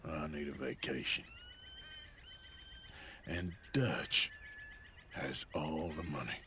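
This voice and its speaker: An actor playing a weary, protective middle-aged outlaw in a video game, grave and plain-spoken, with an American Western drawl. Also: male voice, a bass voice, slow, pushed and bright, a little hiss or hum, full character voice. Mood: weary, disillusioned. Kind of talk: dramatic video game acting.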